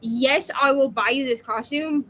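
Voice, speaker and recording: A woman's voice: high-pitched, measured pace, fairly bright tone, slight background noise. A woman talking casually as a book discussion co-host, conversational and full of asides and self-corrections. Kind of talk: casual chat, personal anecdotes.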